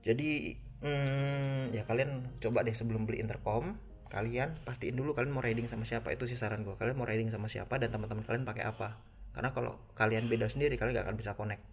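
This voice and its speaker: A man with a Jakarta accent talks viewers through a product review in an informal, conversational way. His mid-pitched voice has a noticeably creaky, gravelly edge. Music plays clearly behind him as he explains. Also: steady tone, fast. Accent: Jakarta Indonesian. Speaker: motorcycle gear reviewer. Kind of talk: casual product review to camera. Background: background music.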